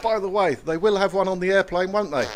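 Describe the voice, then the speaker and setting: A man playing a fussy, fretful elderly British gentleman salesman in a radio sitcom, apologetic in manner. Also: high-pitched, brisk, lightly acted. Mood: anxious. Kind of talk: radio play sitcom comedy acting. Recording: very noisy with clicks.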